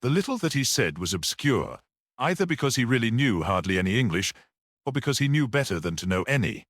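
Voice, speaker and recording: A man's voice: mid-range voice, very animated, brisk, no room sound, studio quality. A man reading a mystery story aloud as an audiobook narrator.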